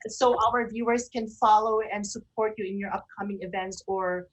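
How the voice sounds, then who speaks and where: Lady radio host, medium pitch, chatty, kinda halting as she asks stuff. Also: fairly even intonation, slight background noise, low-quality recording. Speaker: host of an online radio show. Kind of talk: casual, chatty interviewing.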